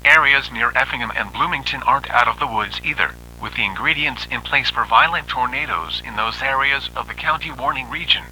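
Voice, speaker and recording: A male weather radio announcer with a very bright, forceful tone reads a formal scripted bulletin. His delivery is impersonal and official. There is faint noise and buzz on the recording. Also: mid-range voice, a little fast, hardly any pauses, poor audio.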